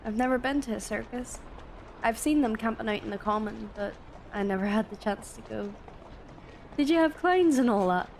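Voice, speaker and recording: A woman's voice: fairly high pitch, moderate pace, creaky, a little mellow, slight background noise. Woman acting meek, sickly young match girl. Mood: friendly, weary. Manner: hesitant, deferential. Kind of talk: fan audio drama acting.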